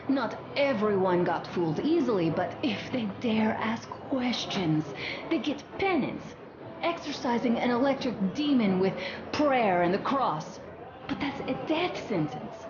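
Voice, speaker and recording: A woman's voice: fairly high pitch, lo-fi sound. An actress playing an earnest young woman who confides her doubts intimately and emphatically in a post-apocalyptic video game. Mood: scornful, indignant. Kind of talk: video game dramatic monologue. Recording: roomy, clear background ambience noise.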